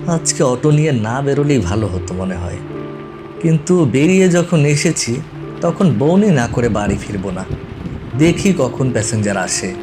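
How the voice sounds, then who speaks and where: A man playing a young, carefree auto-rickshaw driver in an animated story, talking in a casual, colloquial way. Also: medium pitch, moderate pace, music in the background, close to an everyday voice. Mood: musing.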